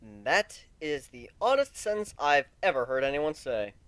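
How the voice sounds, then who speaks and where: A man's voice: a little high, very animated, measured pace, a bit bright, no room sound. A man voicing a wry, teasing, fond male pegasus pony in a story reading. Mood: bemused.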